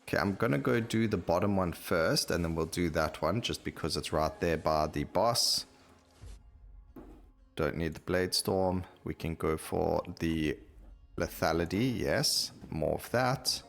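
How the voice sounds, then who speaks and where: A man's voice: low-pitched, unhurried, creaky, soft background music. A man giving casual video game commentary, thinking aloud and explaining his choices as he plays. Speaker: gaming YouTuber.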